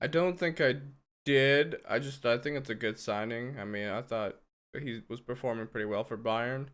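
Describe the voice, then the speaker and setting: A man co-hosting a casual football podcast, welcoming and interviewing a guest in a relaxed, friendly way. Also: mid-range voice, moderate pace, clear, resonant tone. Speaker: co-host and interviewer.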